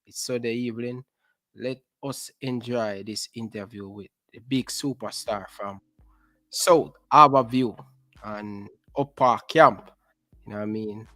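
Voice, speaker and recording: A man's voice: medium pitch, fairly bright tone, no room sound. A Jamaican man hosting a casual online interview, hyping up his viewers with patois phrases.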